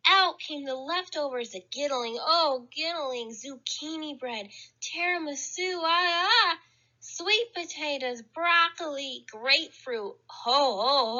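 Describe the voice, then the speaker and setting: A woman's voice: sits high, pushed and bright, lo-fi sound. A woman reading a picture book aloud to children at story time, playfully acting out sounds and exclamations. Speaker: library storyteller.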